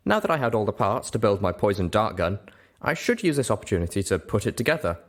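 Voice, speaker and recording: Male audiobook narrator, lower-pitched, delivering scripted first-person fiction. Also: big pitch swings, fast talker.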